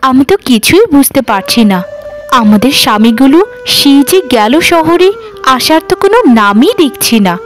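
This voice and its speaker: A woman's voice: high voice, animated, normal speed, slight background noise. A woman voicing an anxious, timid younger village wife in melodramatic, fretful cartoon dialogue.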